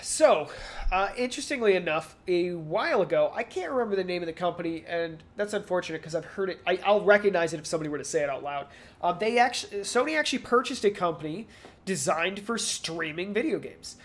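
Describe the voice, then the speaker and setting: A man's voice: high voice, lively intonation, a little fast. Rambling man talking games casually. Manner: rambling analysis, acts out companies' positions in mock quotes. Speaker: podcast co-host. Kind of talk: casual video game industry discussion.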